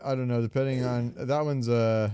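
Casting: male podcast co-host, clean smooth mid-range voice, joking, hesitant gaming chat, very dry. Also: mid-range voice, steady tone, moderate pace, dark tone, no echo.

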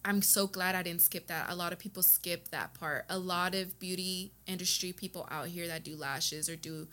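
A woman's voice: lowish voice, steady tone, moderate pace, pushed and bright. An American woman from Texas talking casually and earnestly as an interview guest, telling her personal story in informal, emphatic language. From Texas, United States. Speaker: podcast guest, beauty business owner and educator.

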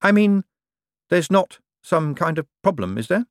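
A man's voice: high voice, very animated, unhurried, no echo, clean studio sound, voice pushed up. A man doing a jittery, defensive young rogue. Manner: agitated, defensive, raised voice. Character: anxious young male ex-conman, defensive.

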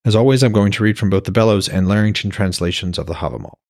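A man's voice: on the low side, quick pace, darkish tone, dry recording, studio quality. A man reading scripted narration and commentary as an author hosting his own audiobook podcast.

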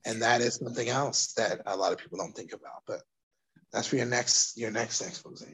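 A man from Brooklyn with a New York accent, speaking thoughtfully as an interview guest, pausing and restarting as he works through his ideas. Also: medium pitch, slightly rough, rough recording. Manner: thoughtful, hesitant, with restarts and soft laughs. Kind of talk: casual interview conversation.

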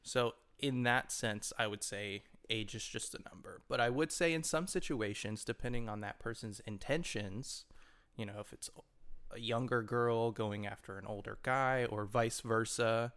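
A man in his twenties, co-hosting a podcast, talking in relaxed, reflective, hedged run-on sentences. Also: medium pitch, normal speed, smooth. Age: 20s. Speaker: podcast co-host. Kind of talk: casual chat.

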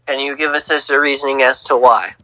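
A young man co-hosting a casual sports podcast, teasing and lively, on a home mic. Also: medium pitch, brisk, dry recording, rough recording. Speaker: podcast co-host.

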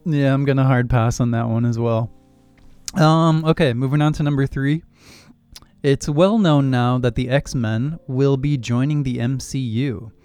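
A man's voice: mid-range voice, measured pace, clean tone, no echo, soft background music. A young man co-hosting a casual film podcast, moving the talk along through a list of topics. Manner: relaxed, steers the segment through a list. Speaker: podcast co-host. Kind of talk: casual chat.

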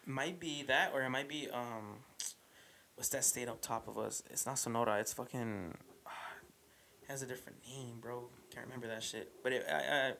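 A man's voice: medium pitch, moderate pace, pushed and bright, a little hiss or hum. A young man hosting a podcast in casual chat. He swears freely, laughs often and keeps calling his guest "bro.". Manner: loose and profane, laughs often, says "bro" a lot. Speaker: podcast host.

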